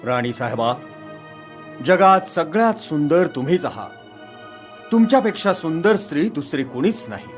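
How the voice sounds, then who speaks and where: Guy doing a magic mirror from a fairy tale, kinda high for a man, swoopy flattering delivery. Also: normal speed, pauses often, faint music behind. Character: flattering magic talking mirror, servile to queen.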